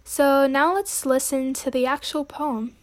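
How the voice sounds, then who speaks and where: A woman's voice: sits high, unhurried. A young woman hosting a student poetry podcast, reading her commentary in an earnest, read-aloud way. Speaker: podcast host.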